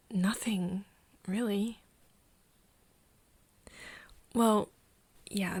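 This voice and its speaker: A woman acts out a tender, nervous young human confiding in a vampire friend in an intimate ASMR roleplay. She speaks slowly and hesitantly, drifting between soft talk and whispering. Her voice has a creaky edge and sits close and dry. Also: mid-range voice, no room sound. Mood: resigned, disappointed. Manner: hesitant, halting, breathy whispering mixed with soft speech. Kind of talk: ASMR roleplay, intimate one-sided dialogue to listener.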